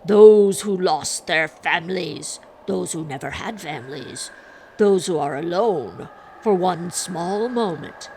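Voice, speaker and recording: A woman voicing a boy who plays a menacing ghostly prophet in a story, guttural, drawn-out and declamatory. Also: on the low side, expressive, a little mellow, slight background noise. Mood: solemn. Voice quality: guttural, horrific.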